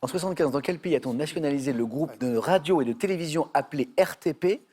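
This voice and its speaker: A man's voice: a little high, fast, dark tone, slightly rough. A man hosting a television quiz show in a studio, playful and chatty with the contestants.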